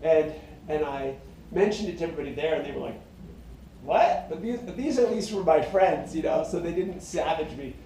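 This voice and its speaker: A middle-aged singer-songwriter with a high tenor voice, talking in a meandering, reflective way full of fillers and restarts. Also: male voice, animated, moderate pace, mellow, a little hiss or hum. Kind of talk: rambling casual monologue. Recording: very echoey room, mic at a distance.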